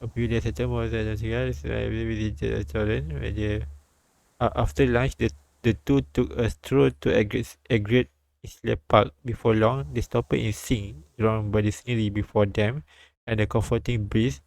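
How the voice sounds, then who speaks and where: A man live streaming, reading a visual novel aloud haltingly with casual comments and stumbles over words. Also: fairly low pitch, fairly even intonation, vocal fry, darkish tone, dry recording, poor audio. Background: light game music.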